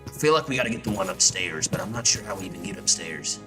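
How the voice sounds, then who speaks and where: A man's voice: deep voice, animated, fast. A man giving casual, rambling gameplay commentary as a streamer, jokey and profane. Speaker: video game streamer. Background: game music.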